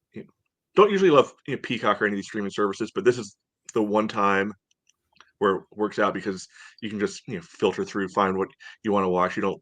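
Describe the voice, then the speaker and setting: A man's voice: deep voice, a bit bright, dry recording. An American man co-hosting a sports podcast, chatting casually in a rambling, conversational way.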